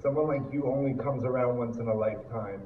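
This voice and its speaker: A young man performing spoken word poetry at a live slam, delivering his lines in an earnest, dramatic, deliberate way. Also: mid-range voice. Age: young adult. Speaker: slam poet. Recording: echoey room, distant muffled mic, noisy.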